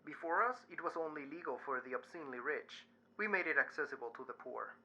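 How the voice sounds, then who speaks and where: An actor playing a wry, anxious mortuary worker in an audio drama, measured in delivery, heard over a call. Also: male voice, on the high side, expressive, sharp tone, heavily acted. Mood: explaining. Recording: dull, band-limited call sound.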